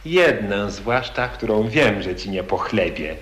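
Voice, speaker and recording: A man playing a smooth-talking young nobleman suitor. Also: fairly low pitch, animated, a little slow, fairly bright tone. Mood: wooing. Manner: theatrical, wheedling, declamatory. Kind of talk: 1940s radio acting in verse. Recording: echoey old radio archive.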